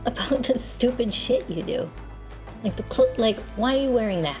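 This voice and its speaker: A game master voicing a scornful, sharp-tongued young woman who mocks and dismisses others. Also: mid-range voice, expressive, normal speed, faint music behind, pitched above the performer's own voice, close to an everyday voice. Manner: sneering, dismissive taunts.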